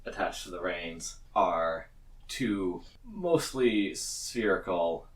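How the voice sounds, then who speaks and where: A man with a deep voice narrates a tabletop game as game master. His delivery is slow, measured and descriptive, captured at some distance from the microphone. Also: sing-song, roomy sound.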